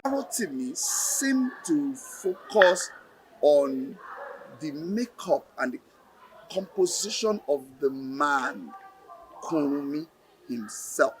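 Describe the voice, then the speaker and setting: A man with a Nigerian accent explaining in an interview, deliberate and halting, repeating phrases for emphasis. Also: a little high, sing-song, slight background noise, rough recording. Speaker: lecturer and play director interviewed.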